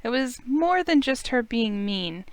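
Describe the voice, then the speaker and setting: Female playthrough host narrating a visual novel: clear, smooth voice, close and dry. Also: fairly high pitch, animated, unhurried, no echo. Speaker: host reading a game playthrough. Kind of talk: expressive story narration read aloud.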